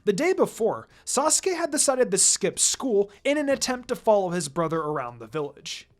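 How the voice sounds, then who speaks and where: A narrator reading a story aloud in an expressive, dramatic audiobook style. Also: mid-range voice, normal speed. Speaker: fan fiction reader, narrator. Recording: music behind the voice. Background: background music.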